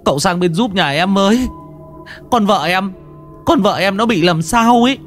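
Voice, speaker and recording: A man's voice: sits high, expressive, normal speed, soft background music, voice pushed up. A man voicing a panicked young village husband, pleading for help.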